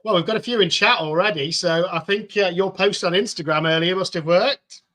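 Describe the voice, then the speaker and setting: A man's voice: sits high, fairly quick, pushed and bright. A man hosting a casual live-stream chat, upbeat and encouraging, speaking over a video call. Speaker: host.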